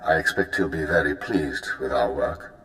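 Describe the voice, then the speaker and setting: Brief: stern spymaster, deep, formal orders, roomy echo, faint hiss. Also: male voice, fairly bright tone. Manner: formal, commanding, measured. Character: brisk senior male intelligence handler. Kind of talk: video game cut-scene acting.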